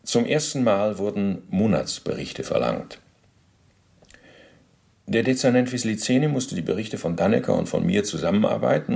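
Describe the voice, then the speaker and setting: A former officer testifying in a radio play, his voice low and full of fry. He recounts things precisely and bureaucratically, in a matter-of-fact tone that justifies himself. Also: darkish tone.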